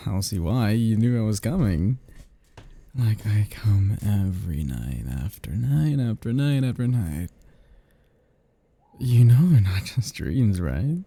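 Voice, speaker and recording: A man playing an ancient, flirtatious, possessive vampire in an intimate ASMR roleplay, speaking to the listener softly and huskily in a halting, teasing, casually menacing way. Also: fairly low pitch, big pitch swings, heavily acted. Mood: teasing menace. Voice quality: soft, husky, gravelly.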